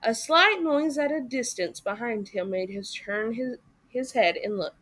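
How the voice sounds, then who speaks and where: A woman reading a story aloud as expressive narration for children. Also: on the high side, unhurried. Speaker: story reader.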